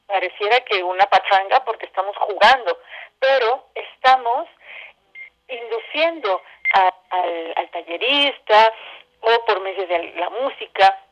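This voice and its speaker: A Mexican woman speaking as an interview guest, an arts educator explaining her work conversationally and building her points through lists and repetition. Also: medium pitch. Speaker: guest, arts educator and theatre company director. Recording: muffled, band-limited phone call.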